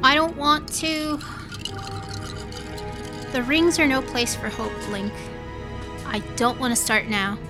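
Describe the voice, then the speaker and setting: A woman playing a tough, guarded spaceship captain with a soft side, blunt and gruff in manner, in a science fiction audio drama. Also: sits high, fairly even intonation, slow, pauses often, music in the background. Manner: blunt, gruff, clipped commands.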